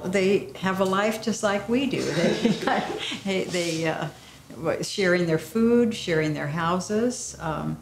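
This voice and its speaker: An older woman with a very low voice talks reflectively in a documentary interview, pausing on fillers. The room rings around her, with a faint hiss behind. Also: roomy sound.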